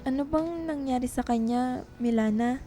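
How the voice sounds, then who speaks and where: A woman playing a tender, lovelorn young writer in a radio drama, with a soft, pleading manner. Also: high voice, normal speed, faint music behind, natural voice. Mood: worried, pleading.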